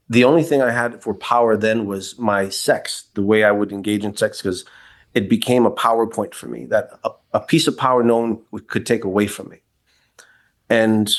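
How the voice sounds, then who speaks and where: A man in his forties from New York City, a podcast guest telling his personal story in a reflective, candid way with frequent restarts. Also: lowish voice. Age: 40s. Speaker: podcast guest, kink educator. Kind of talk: casual interview, personal story.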